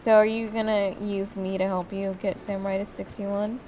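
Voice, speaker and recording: A woman's voice: medium pitch, little pitch movement, normal speed, dark tone, lo-fi sound, slight background noise. A sleepy woman chatting casually and candidly with friends over gameplay, swearing freely as she goes. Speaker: gamer commentating with friends. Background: game music.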